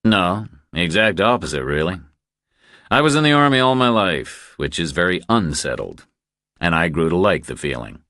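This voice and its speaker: A man's voice: sits low, very animated, normal speed, a bit bright, dry recording, studio quality, exaggerated voice. A man voicing a laconic, easygoing ex-Army drifter in an audiobook, terse and understated. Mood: relaxed, candid.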